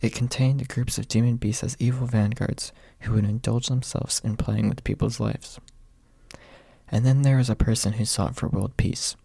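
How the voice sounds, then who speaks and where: A young man with a soft, husky voice, reading quietly in an ASMR audiobook style, close to the microphone. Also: fairly low pitch, dry recording. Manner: soft-spoken, hushed late at night. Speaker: amateur audiobook reader. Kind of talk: ASMR, quiet storybook narration. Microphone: close USB microphone without pop filter.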